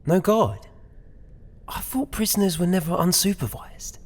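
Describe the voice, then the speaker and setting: A man's voice: high-pitched, expressive, moderate pace. A man playing a sarcastic, exasperated young prisoner in an audio drama, recorded with long reverb. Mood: grumbling. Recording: long hall-like cell reverb.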